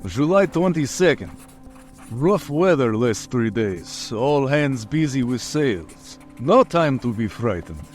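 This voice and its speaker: Actor playing grave sea captain, clipped phrases, slow, wide pitch swings, radio play. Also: male voice, very animated, darkish tone, soft background music. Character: grave Russian sea captain reading his log. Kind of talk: old-time radio drama acting.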